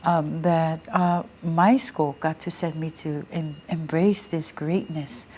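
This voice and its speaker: A middle-aged woman from American Samoa whose first language is Samoan, telling her story in a relaxed interview full of fillers and restarts. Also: sits low, slow pace, husky. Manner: many fillers and restarts, laughs readily. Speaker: guest, Samoan language teacher. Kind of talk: casual interview, reflective storytelling.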